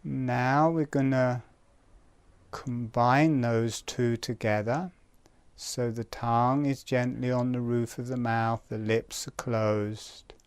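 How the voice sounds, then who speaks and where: A man leads a guided breathing exercise as an instructor. His voice is smooth and clear, at a middle pitch. He speaks very slowly and gently, leaving space between short, calm phrases. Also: no room sound.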